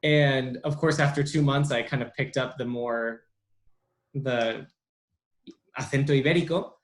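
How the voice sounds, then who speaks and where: A young adult man as an interview guest, talking casually with many pauses and restarts. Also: mid-range voice, steady tone. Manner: halting, lots of ums and restarts. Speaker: interview guest, bilingual speech therapist.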